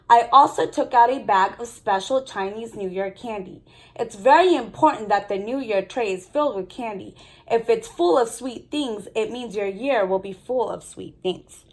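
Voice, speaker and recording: A woman reading a children's story aloud in an expressive storytelling manner. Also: a little high. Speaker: storybook reader. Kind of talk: children's story read-aloud with brief commentary.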